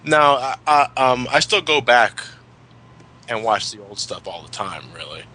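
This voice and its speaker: A man's voice: medium pitch, very animated, unhurried, pushed and bright, a little hiss or hum. A man in a casual fan discussion, ranting emphatically and swearing freely. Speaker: commentary show host.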